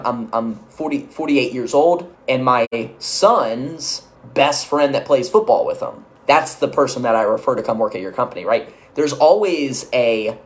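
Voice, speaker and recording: A man giving an instructional business-coaching talk, conversational and energetic, checking in often with quick asides. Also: medium pitch. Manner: energetic, conversational, uses filler checks like "okay?" and "right?".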